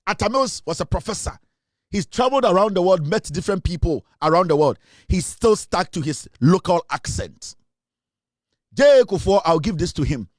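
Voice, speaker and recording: A man with a Ghanaian English accent delivers an opinionated commentary in a fairly high voice. He talks emphatically and drives each point home by repeating it. The sound is close and dry, with little room around it. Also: expressive, moderate pace, no room sound. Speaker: online commentator.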